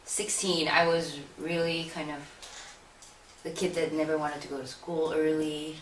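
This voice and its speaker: A woman from Singapore, a guest in a casual interview, telling stories in a lively, playful way. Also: low-pitched, measured pace. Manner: lively, playful, animated storytelling. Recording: roomy echo, mic at a distance, faint background hiss.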